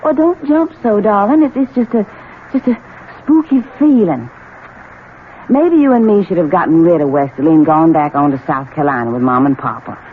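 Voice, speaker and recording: An actress with an American Southern accent playing a spoiled, scheming young bride, coquettish and imperious, in a 1970s radio drama. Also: female voice, fairly low pitch, lively intonation, moderate pace, phone-quality sound, natural voice. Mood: coy, wheedling. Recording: band-limited, noisy old radio recording.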